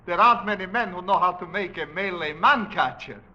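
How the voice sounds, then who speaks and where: Gloating aristocratic hunter villain, high-pitched, piercing, mockingly polite, vintage radio thriller. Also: male voice, brisk, bright, forceful tone. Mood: amused, taunting. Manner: theatrical, mockingly courteous, taunting. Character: suave, gloating aristocratic male big-game hunter, cruelly playful villain. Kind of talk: 1940s radio drama acting. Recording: dull vintage 1940s radio recording.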